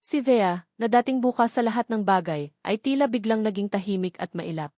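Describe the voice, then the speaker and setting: A woman's voice: mid-range voice, animated, brisk, darkish tone, no room sound, studio quality. A woman with a Filipino accent reads a scripted romance story as its narrator, in a dramatic storytelling manner.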